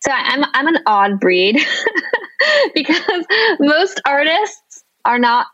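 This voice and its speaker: Animated woman with lo-fi sound. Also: slow. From Venezuela and the United States. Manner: animated, laughs often, high bursts when quoting others. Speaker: guest, company founder and illustrator. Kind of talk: conversational interview. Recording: slightly dull lo-fi audio.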